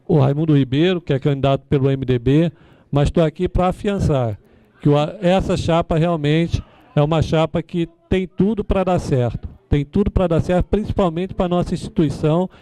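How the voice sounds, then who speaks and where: A man's voice: fairly high pitch, moderate pace, mellow, no room sound. A Brazilian man giving an informal endorsement speech, repeating himself and often seeking agreement with tag questions. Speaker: former police administration official speaking at a candidate forum.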